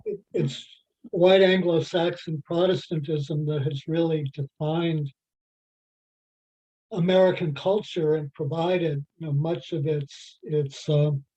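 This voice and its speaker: A slow, deliberate speaker in an adult class discussion, feeling for each phrase with fillers and restarts. The tone is mellow and a little dark. It comes through a dull, lo-fi video call. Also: mid-range voice, steady tone. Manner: halting, heavy with "you know" fillers and restarts. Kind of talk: group discussion. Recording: dull lo-fi sound.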